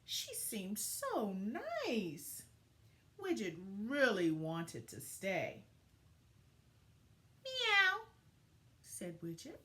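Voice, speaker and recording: A woman teacher giving an expressive read-aloud lesson for young children, with deliberate, dramatic phrasing. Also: mid-range voice, a little hiss or hum.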